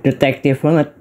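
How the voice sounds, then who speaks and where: A man's voice: fairly high pitch, warm tone. An Indonesian man with an Indonesian accent doing casual, reactive gameplay commentary, mixing slang with exclamations. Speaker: gaming YouTuber.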